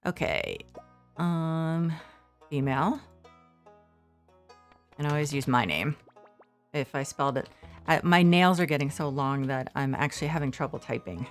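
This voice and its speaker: A woman streaming a farming game from her shared living room, rambling softly with many fillers. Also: sits low, expressive, a little slow, pauses often, faint music behind. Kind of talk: casual gameplay commentary.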